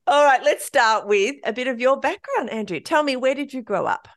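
A woman's voice: high voice, lively intonation, moderate pace, no echo, clean studio sound. An Australian woman in middle age hosting a podcast, chatty and upbeat, laughing often in casual interview talk. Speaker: podcast host.

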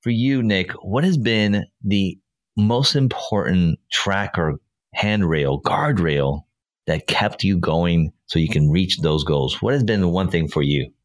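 A man's voice: sits low, measured pace, no room sound. Colombian-born man hosting a podcast. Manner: enthusiastic, rambling, repeats praise. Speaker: podcast host. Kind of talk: casual podcast interview.

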